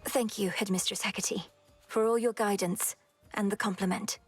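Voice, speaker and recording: A woman's voice: medium pitch, fairly even intonation, normal speed, breathy edge, soft background music, lightly acted. A woman voicing an earnest, dutiful young witch in a video game, polite, restrained and formal. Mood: respectful.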